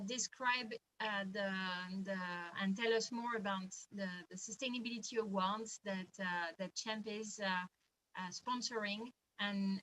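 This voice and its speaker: A woman hosting a trade webinar, introducing the next speaker in a halting way with frequent fillers. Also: fairly high pitch, sharp tone, low-quality recording. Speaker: association host, moderator.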